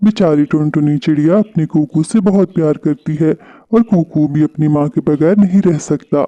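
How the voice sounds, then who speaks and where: A man's voice: a little high, normal speed, dark tone, dull audio, natural voice. A man voicing a big male kite, a predatory bird in a children's cartoon. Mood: remorseful, gentle. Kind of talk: Hindi children's cartoon voice acting.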